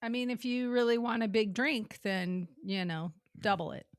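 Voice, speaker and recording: A woman's voice: fairly high pitch, normal speed, no echo, clean studio sound. A woman co-hosting a podcast, chatting casually in a matter-of-fact way. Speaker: podcast co-host. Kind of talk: casual chat.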